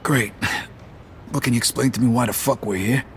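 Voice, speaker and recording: An actor playing a tough, blunt American mobster in a video game cutscene. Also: male voice, medium pitch, fairly quick, slight background noise, natural voice. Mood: defiant.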